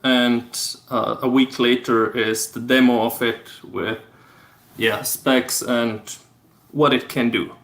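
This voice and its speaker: A man from Austria whose first language is German, speaking English with an Austrian German accent. He hosts a casual livestream and explains things step by step in a halting, low-key way. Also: little pitch movement, a bit bright. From Austria (Vorarlberg). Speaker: livestream host. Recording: roomy echo.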